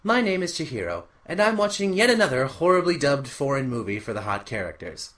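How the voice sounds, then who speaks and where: A young man narrating an animated parody as a sarcastic teenage boy, sardonic and exasperated. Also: medium pitch, fairly quick.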